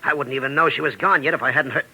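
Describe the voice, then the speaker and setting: An actor playing an uneasy, excitable man in a 1940s radio crime drama, rough-voiced and defensive. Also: fairly high pitch, very fast, full character voice, low-quality recording. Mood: puzzled. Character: uneasy, agitated male friend of a wanted killer. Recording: old 1940s radio recording.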